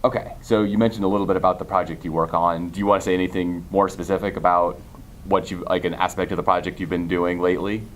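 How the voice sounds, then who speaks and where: A man with a very low, deep voice interviews a guest at a conference. His questions are relaxed and easygoing, and he affirms answers often. Faint background noise sits under the recording. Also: quick pace. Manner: relaxed, affirming, frequent backchannel. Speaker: interviewer, series host.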